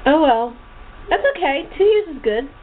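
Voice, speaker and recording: A woman hosting a casual interview chat, talking in a halting, rambling way with repeated words. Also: high voice, lively intonation. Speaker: radio show host and voice actor. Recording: tinny phone line with clear noise.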